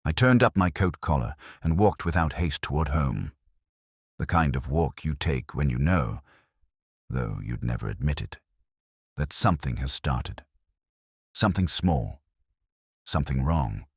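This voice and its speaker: Older male detective narrator for audio mystery, acted by a man: extremely low, melancholy, wry and measured, with expressive rise and fall. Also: breathy edge, no echo, studio quality, exaggerated voice. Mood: sombre, reflective. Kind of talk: scripted first-person audio mystery narration.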